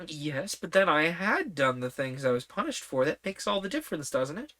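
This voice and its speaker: A woman's voice: low-pitched, big pitch swings, normal speed, fairly bright tone. A woman voicing a polite puzzled young girl. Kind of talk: storybook reading.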